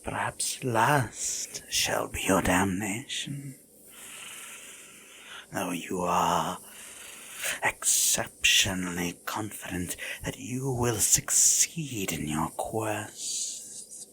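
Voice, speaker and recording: Ancient regal serpentine demon king, low and extremely unhurried, breathy, leering menace for fantasy audio drama. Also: animated, pushed and bright, a little hiss or hum, full character voice. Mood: savoring, menacing. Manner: slow, drawn-out, breathy, menacingly teasing. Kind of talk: fantasy audio roleplay acting.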